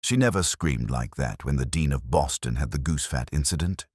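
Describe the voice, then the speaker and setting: A man's voice: rumbling low, animated, normal speed, a little mellow, husky, no room sound, clean studio sound, full character voice. A man voicing gossiping small-town pub regulars who trade rumours in a knowing, chattering way. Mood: gossipy.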